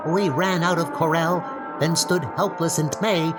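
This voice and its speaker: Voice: mid-range voice, fairly even intonation, measured pace, lightly acted. An actor playing a solemn, distressed inhabitant of a doomed city in a dramatized comic book audio drama. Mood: grave dismay. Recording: loud music under the voice.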